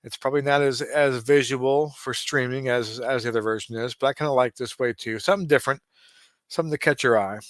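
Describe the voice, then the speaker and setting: A man in his late forties doing casual play-by-play of a simulated baseball game, relaxed and chatty with frequent asides. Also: mid-range voice, fast talker, no room sound. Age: 40s. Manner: relaxed, chatty, given to asides and small restarts.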